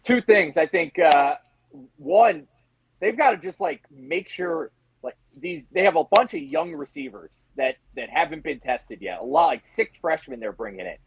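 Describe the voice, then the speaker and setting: Gravelly, husky man talking sports. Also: a little high, sing-song, normal speed, poor audio. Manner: conversational, talks in acted-out questions and asides. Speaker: sports reporter and interview guest. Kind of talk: casual sports analysis in an interview.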